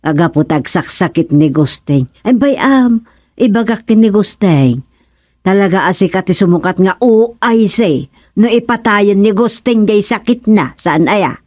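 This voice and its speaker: A woman's voice: medium pitch, lively intonation, unhurried, darkish tone, dry recording, clean studio sound. A woman with an Ilocano accent playing a plain-spoken, teasing village woman in a radio comedy drama, blunt and questioning.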